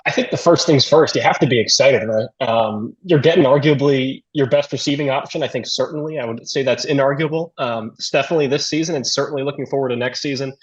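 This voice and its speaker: A man's voice: medium pitch, quick pace, few pauses, husky, muffled recording. A man giving analysis as part of a sports talk radio discussion.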